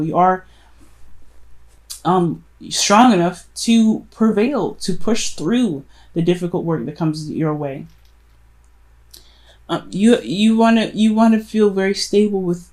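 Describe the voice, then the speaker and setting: A woman's voice: fairly low pitch, darkish tone. A woman doing a casual tarot reading, speaking straight to the listener in an earnest, encouraging way with emphatic repetition. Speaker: tarot reader. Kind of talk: casual spoken tarot reading addressed to the viewer.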